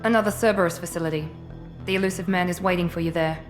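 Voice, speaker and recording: A cold, self-assured woman officer, voiced by an actress in a sci-fi video game, her voice a little lower than most women's. She talks in dry, clipped lines with a faint condescension. A musical score plays under the game audio. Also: fairly even intonation, quick pace, soft background music, close to an everyday voice. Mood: cool. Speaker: Cerberus project director.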